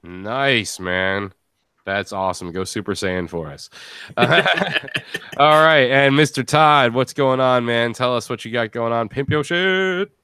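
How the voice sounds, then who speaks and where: Male podcast host, crisp and dry-sounding, informal joker with an easy chat style. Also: medium pitch, slow pace, bright, forceful tone, no echo. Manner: jokey, informal, laughs often. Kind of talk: casual group chat, wrapping up a show.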